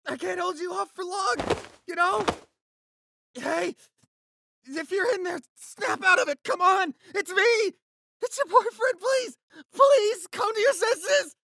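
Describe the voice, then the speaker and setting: A young man acting an anxious, devoted boyfriend in a roleplay audio, halting and stammering as he pleads. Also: very high voice, gravelly, sharp tone, no echo, clean studio sound, heavily acted. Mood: panicked, pleading.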